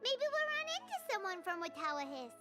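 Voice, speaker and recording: A woman voicing a small, excitable, childlike fairy companion in a video game, squeaky in manner. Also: fairly quick, over music. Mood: chatty. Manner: speaks of self in third person, squeaky.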